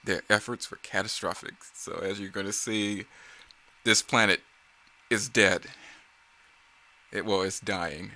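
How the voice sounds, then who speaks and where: A man's voice: fairly low pitch, fairly even intonation, unhurried, long pauses, bright, forceful tone. A man giving casual, off-the-cuff creator commentary in a rambling, self-correcting way. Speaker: creator giving commentary on own motion comic series.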